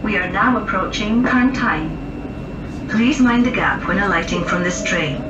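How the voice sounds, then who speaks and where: A woman giving formal recorded railway passenger announcements in measured, segmented phrases, heard over a train's public address. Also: medium pitch, lively intonation, distant mic. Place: aboard a moving passenger train. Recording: muffled, noisy, echoey train PA captured from afar. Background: loud train and rail noise.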